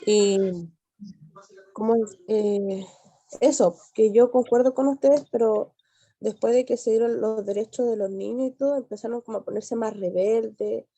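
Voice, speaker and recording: Earnest young Chilean woman, dark voice. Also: on the high side, steady tone, measured pace, dry recording. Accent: Chilean Spanish. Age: 20s. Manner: earnest, emphatic, with many restarts. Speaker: adult student. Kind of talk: class discussion.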